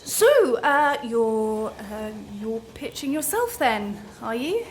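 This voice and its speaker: An actress playing a guarded woman writer in a radio play, halting, evasive and strained in her politeness. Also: on the high side, very animated, slight background noise. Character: awkward, guarded woman writer avoiding a chatty passenger. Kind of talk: naturalistic radio play acting.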